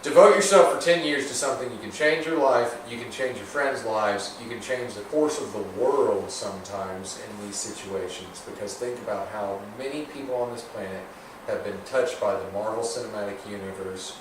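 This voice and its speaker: A man's voice: lowish voice, normal speed. A man in his thirties hosting a casual podcast chat, talking in an earnest, motivational, speechifying way. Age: 30s. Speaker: podcast host. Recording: echoey room, mic at a distance, noisy.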